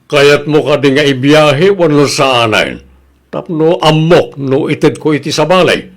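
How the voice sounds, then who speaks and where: A man's voice: a little high, animated, a little slow. A man with an Ilocano accent playing a money-minded jeepney owner, a casual and self-interested boss in a comedy radio drama. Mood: dismissive.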